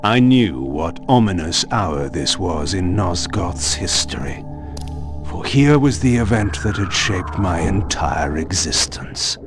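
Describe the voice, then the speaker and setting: Very deep, brooding vampire lord. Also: male voice, sing-song, music in the background, exaggerated voice. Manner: deliberate, eloquent, theatrical. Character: proud, brooding, sardonic male vampire lord. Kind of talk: dramatic video game narration and dialogue.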